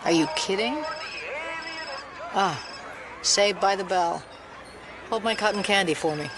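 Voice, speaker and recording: An actress playing a brisk, sceptical, no-nonsense federal agent in an audio drama at a busy fair. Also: female voice, mid-range voice, lightly acted. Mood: dry reluctance. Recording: loud fairground crowd and music.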